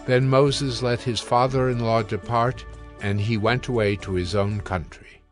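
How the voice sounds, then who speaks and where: A male narrator reads scripture for an audio drama. His medium-pitched voice is measured and solemn, with clear phrasing and soft music in the background. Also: fairly even intonation.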